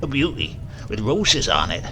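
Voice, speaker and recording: A man voicing a gruff, unrepentant old countryman who tells tall tales in thick rustic speech. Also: fairly low pitch, very animated, normal speed, noisy background. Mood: relishing. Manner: dialect-heavy, crusty, grumbling. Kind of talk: storybook reading.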